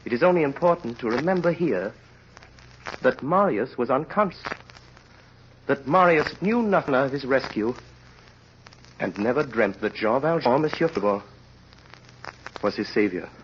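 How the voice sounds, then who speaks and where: An actor playing a grave, impassioned storyteller, narrating a tragedy solemnly and theatrically in an old radio drama. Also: male voice, mid-range voice, animated, normal speed, long pauses, a little hiss or hum, lightly acted. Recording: muffled old radio recording.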